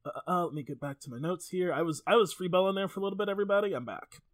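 A man's voice: sits high, very fast, no echo, clean studio sound. A man hosting a casual podcast, telling stories in an informal, jokey, sweary way. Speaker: podcast host. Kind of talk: casual chatty podcast storytelling.

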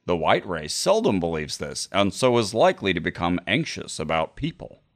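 A man co-hosting a podcast, reading a book aloud dramatically in a deliberate, mock-serious way. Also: sits low, sing-song. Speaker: podcast host. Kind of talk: dramatic read-aloud of a book with casual asides.

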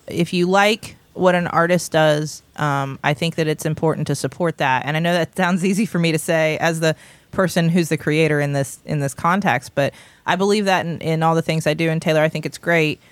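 A woman hosting a podcast, chatting casually through a fundraising pitch with restarts and light laughs. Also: fairly even intonation, a little fast, no room sound. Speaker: podcast host. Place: small recording studio.

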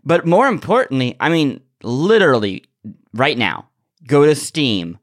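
A man co-hosting a podcast with casual, playful banter and halting restarts. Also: on the high side, very animated, no room sound, studio quality. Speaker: podcast co-host.

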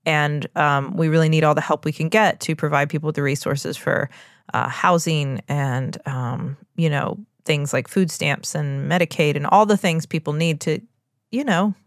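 A woman co-hosting a podcast in casual chat, with a fairly deep voice and an earnest, careful way of explaining. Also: steady tone, normal speed, no echo, studio quality. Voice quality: deeper voice than before. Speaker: podcast co-host.

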